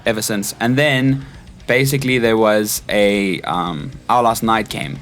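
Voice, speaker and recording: A man's voice: fairly low pitch, a little slow, fairly bright tone, faint music behind. A man in his twenties with a South African accent, telling personal stories casually as an interview guest. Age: 20s. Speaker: interview guest, stage crew technician. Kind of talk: casual chat, personal anecdotes.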